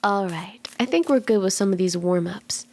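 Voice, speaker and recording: Soft, creaky-voiced flirty kitsune fortune teller, played by a woman. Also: mid-range voice, normal speed, close to an everyday voice. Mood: calm, guiding. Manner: teasing, reassuring, conversational. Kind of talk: ASMR roleplay, soft intimate tarot reading.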